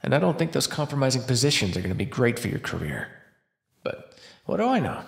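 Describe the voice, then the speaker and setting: A man acting a starving captive vampire, wildly expressive. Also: mid-range voice. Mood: flirtatious, taunting. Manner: sardonic, teasing, breathy pauses and sighs. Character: starving captive male vampire, sardonic, flirtatious, desperate. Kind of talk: immersive ASMR roleplay monologue. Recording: roomy, echoing sound.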